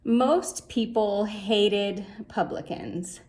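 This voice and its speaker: A woman reading a rhyming children's story aloud in a measured, expressive way. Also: medium pitch, slight background noise. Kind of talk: scripted storybook narration in rhyme.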